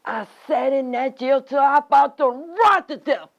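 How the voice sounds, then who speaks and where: A woman acting a long-suffering, resilient rural Southern woman in a heartfelt, halting dramatic monologue. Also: sits high, muffled recording. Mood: weary, grave.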